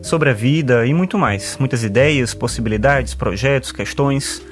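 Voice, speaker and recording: A man's voice: medium pitch, moderate pace, natural voice. A man playing a talkative male philosopher and sociology teacher in an audio drama, earnest and fond of quoting thinkers, with faint music underneath. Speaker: patient.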